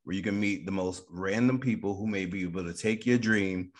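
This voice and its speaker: A man's voice: low voice, brisk. A man with an American accent hosting a radio interview in a casual, upbeat style.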